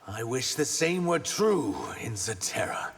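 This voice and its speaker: A creaky-voiced reptilian shapeshifter in a fighting game. He sounds earnest and guarded, at a medium pitch, in a reverberant hall. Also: very animated, unhurried, a bit bright. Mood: wary, wounded. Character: earnest, wary male reptilian shapeshifter warrior. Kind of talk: video game voice acting.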